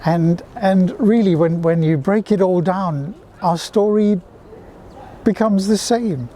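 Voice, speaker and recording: A middle-aged man from Fiji, answering interview questions in a reflective, measured way. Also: high-pitched, warm tone, a little hiss or hum.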